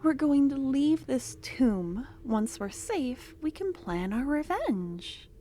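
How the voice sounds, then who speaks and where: A woman voicing an obsessive, possessive female cultist lover in an ASMR roleplay, talking softly and slipping into whispers, tender yet menacing. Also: high-pitched, big pitch swings, takes it slowly, noisy background, exaggerated voice. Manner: soft-spoken, drifting into whispers.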